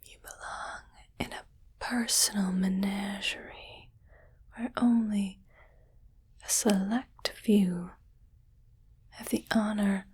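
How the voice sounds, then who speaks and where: A breathy, whispering alien lady of great wealth , played by a woman speaks extremely slowly in an ASMR roleplay. She is haughty, greedy and possessive, weighing each short phrase as if appraising a prized item. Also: mid-range voice, steady tone, a little mellow. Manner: slow, deliberate, pausing between short phrases, coolly appraising.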